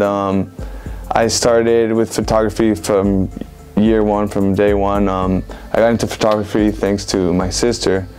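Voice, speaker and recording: A man in his twenties from Venezuela talks through his answers indoors. His voice sits low and stays quite flat. He rambles casually, filling pauses with "like" and "um", and soft music plays underneath. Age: 20s. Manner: relaxed, rambling with many fillers like "like" and "um". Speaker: interviewed street photographer. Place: indoor room with bookshelves.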